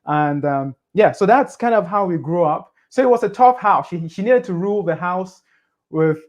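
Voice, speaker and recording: A man, a podcast guest, telling his life story in a casual, animated way, pushing his voice up on the points he stresses. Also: high voice, moderate pace. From Cameroon.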